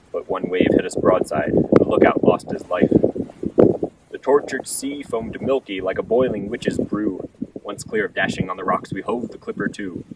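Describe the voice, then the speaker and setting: A man with a gravelly voice reciting a rhymed sea ballad in a theatrical, measured storytelling manner. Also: low-pitched, distant mic, heavy background noise.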